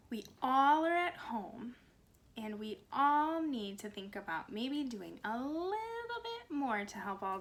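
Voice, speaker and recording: A woman reading to young children in an expressive, deliberate way with animated phrasing and dramatic pauses. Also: fairly high pitch. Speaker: speech pathologist and children's storyteller. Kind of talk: expressive read-aloud storytelling for young children.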